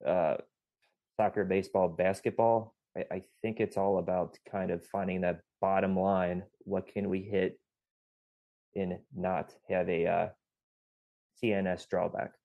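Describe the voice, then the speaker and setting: A man's voice: deep voice, level tone, measured pace, gravelly, no echo, highs cut off. A man co-hosting a podcast, explaining strength training and rehab in a technical, lecturing way. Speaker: podcast co-host, physical therapist. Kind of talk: casual podcast discussion.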